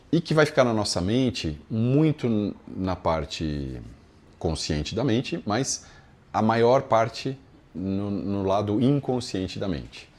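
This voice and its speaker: A man's voice: lowish voice, darkish tone. A man with a Brazilian Portuguese accent giving an informal, conversational lesson, explaining ideas step by step and often adding short check-in tags. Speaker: yoga philosophy teacher.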